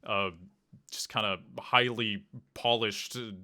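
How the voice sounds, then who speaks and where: A man's voice: on the low side, steady tone, takes it slowly, sharp tone, smooth. A man hosting a casual podcast interview, talking hesitantly with many fillers. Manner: hesitant, full of "kinda" and "like" fillers. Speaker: podcast host.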